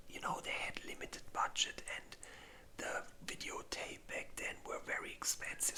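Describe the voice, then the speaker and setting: An ASMR host speaking entirely in a breathy whisper, rambling informally through a casual review and often searching for words. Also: very high voice, bright, forceful tone.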